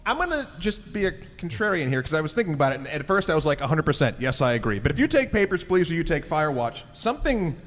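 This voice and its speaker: A man's voice: on the high side, sing-song, fast, few pauses, a bit bright. A man bantering casually and wryly as a panelist on a live podcast stage. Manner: wry, quipping. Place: large convention hall stage. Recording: echoey hall, live on stage.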